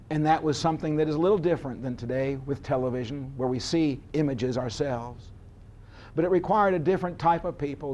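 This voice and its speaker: An American man giving an informal history lecture, explaining deliberately like a storyteller. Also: mid-range voice, mellow. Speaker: history instructor.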